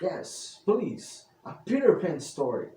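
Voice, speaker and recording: Man acting eager, high-pitched young boy, roomy sound. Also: slow, exaggerated voice. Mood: pleading. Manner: pleading, excited. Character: young boys, pleading and eager for a bedtime story. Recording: roomy, distant mic with faint noise.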